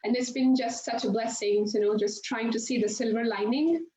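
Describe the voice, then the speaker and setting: A woman's voice: fairly high pitch, steady tone, normal speed. Chatty woman in a roomy studio. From India. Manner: chatty and warm, laughs often while telling stories. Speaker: yoga and meditation instructor, guest. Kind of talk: casual interview storytelling. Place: her home yoga studio. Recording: roomy, dull video-call audio with faint noise.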